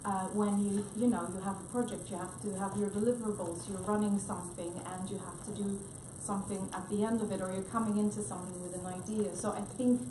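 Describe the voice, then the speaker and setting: A woman's voice: medium pitch, fairly even intonation, quick pace, few pauses. Hesitant woman on an echoey panel. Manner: thoughtful, hesitant, many restarts. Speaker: panelist researcher. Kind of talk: panel discussion remarks. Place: conference room, panel event. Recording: echoey room with noise.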